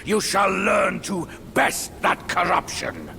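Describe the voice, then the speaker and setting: Brief: a vengeful demon boss for a game. High, wildly swinging, gravelly, drawn-out delivery over thick ambience. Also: male voice, animated, heavy background noise, heavily acted. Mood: defiant. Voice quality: gravelly, shouting. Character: savage male demon boss, boastful and vengeful. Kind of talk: video game boss cutscene acting.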